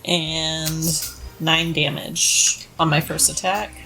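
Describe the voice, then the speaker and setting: A woman plays a tabletop game in casual table chat. She talks slowly in a slightly low voice, with music clearly playing behind her. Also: little pitch movement, pushed and bright, rough recording.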